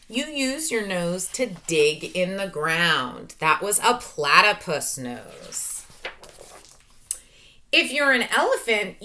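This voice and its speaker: A woman teacher reading a picture book aloud to young children, talking through each step with expressive, sing-song questions. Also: a little high. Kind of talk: children's read-aloud lesson.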